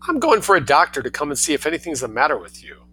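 A man's voice: fairly high pitch, sing-song, quick pace, sharp tone, slight background noise, pitched above the performer's own voice. A man reading a story aloud, voicing a cool, sarcastic young man who snaps back dryly. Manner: snappish, dry.

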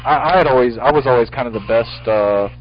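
A man's voice: mid-range voice, brisk, faint music behind. A man hosting a casual call-in radio show, rambling and full-voiced, with many restarts. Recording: lo-fi radio stream with faint hiss.

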